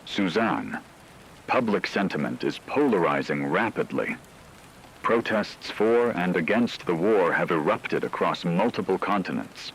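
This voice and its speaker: A man playing a grave wartime radio news anchor, reading a scripted emergency broadcast in a solemn, measured, formal way. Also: sits low, lively intonation, a bit bright, a little hiss or hum, full character voice, rough recording.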